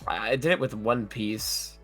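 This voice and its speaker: A young man guesting on a podcast with a hoarse voice, telling stories in an animated, profane way. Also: lowish voice, unhurried, over music. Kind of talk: casual chat.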